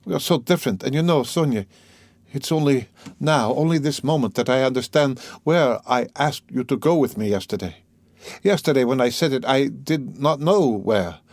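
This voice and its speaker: A man voicing an anguished, bitter young murderer in a dramatic audiobook reading, agitated, brooding and halting as he confesses. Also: medium pitch, expressive, a little mellow, dry recording, pitched above the performer's own voice, lightly acted. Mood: bitter, urgent. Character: anguished, guilt-ridden young male murderer confessing, bitter and self-loathing.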